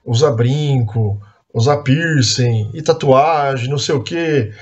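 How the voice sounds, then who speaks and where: A man's voice: mid-range voice, slow, highs cut off. A man from Brazil teaching an adult Sunday school class in a conversational, admonishing way, dotting his talk with tag questions and fillers. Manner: earnest, admonishing. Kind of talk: church Bible class teaching.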